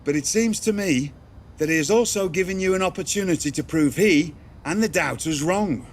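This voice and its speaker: An actor playing an encouraging mentor in a wrestling video game, speaking formally and in measured phrases. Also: male voice, high-pitched, slight background noise. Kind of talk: video game cutscene acting.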